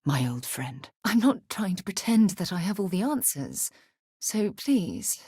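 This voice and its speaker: Game role: composed female explorer-leader, earnest and well-spoken, low register, very dry studio sound. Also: husky, no echo. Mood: gentle, concerned. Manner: articulate, earnest, formal turns of phrase. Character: composed, principled woman space explorer and leader. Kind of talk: scripted video game dialogue, naturalistic voice acting.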